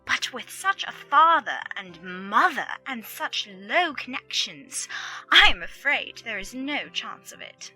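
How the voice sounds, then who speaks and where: A woman playing a snobbish, gossipy young gentlewoman in a period audiobook drama, with haughty, exaggerated emphasis. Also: fairly high pitch, sing-song, slow pace, slightly rough, faint music behind, exaggerated voice, rough recording. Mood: mock pity.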